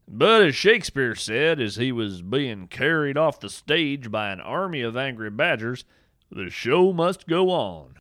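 Male narrator, folksy and playful, deadpan absurd humor, bright voice, animated delivery, dry studio sound. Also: medium pitch, no room sound. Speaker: podcast narrator. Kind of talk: scripted whimsical nature narration.

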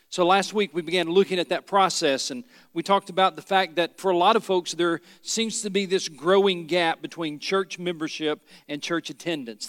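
A middle-aged pastor from Tennessee, preaching a sermon with folksy stories and rhetorical questions. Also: male voice, sits high, a bit bright, dry recording, clean studio sound, big-room sound. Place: church sanctuary.

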